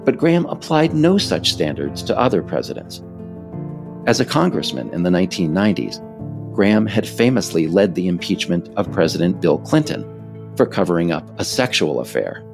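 Low, creaky American male narrator, lively delivery. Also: normal speed, over music. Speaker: author and narrator reading own book. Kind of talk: scripted political narration.

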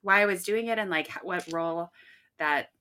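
Female co-host and teacher, bright tense tone, hesitant storyteller. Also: lowish voice, normal speed. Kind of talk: casual chat.

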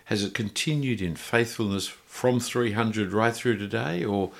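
Man, podcast host, low voice, conversational questioning. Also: a little slow, vocal fry. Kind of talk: podcast interview.

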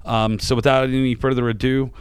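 A man's voice: medium pitch, flat delivery, a little fast, clean tone, no echo. A man hosting a casual podcast, rambling with lots of fillers and restarts, tired and a little dry-voiced. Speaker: podcast host. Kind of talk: casual podcast chat and interview.

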